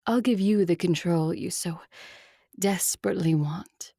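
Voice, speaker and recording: An actress playing a proud, seductive vampire ruler in an audio drama, with a cool, controlled, imperious manner. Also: female voice, lowish voice, a little slow, vocal fry, mellow, slightly rough, no echo, clean studio sound, full character voice. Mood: taunting, seductive. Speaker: voice actor.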